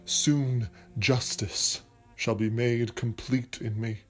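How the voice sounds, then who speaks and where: A man's voice: medium pitch, fairly even intonation, slow, a bit bright, faint music behind. A man playing a passionate, grandiloquent playwright in a period audio drama, speaking in a florid, declamatory and earnest way. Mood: brooding, vengeful. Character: passionate, grandiloquent male playwright scheming for material. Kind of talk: theatrical period stage-play acting.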